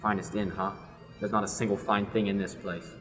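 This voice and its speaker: A woman's voice: extremely low pitch, fairly even intonation, a little fast, over music. A teenage actress playing a haughty young male prince, arrogant and impatient, in a school radio play. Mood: disdainful. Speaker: student actor. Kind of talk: amateur radio play acting.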